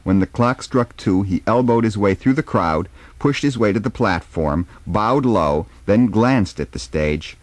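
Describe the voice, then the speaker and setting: A man reading the part of a gossipy, scornful high-society concertgoer in an emphatic dialogue reading. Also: animated, measured pace, few pauses, no room sound. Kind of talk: informal dramatic reading of a dialogue.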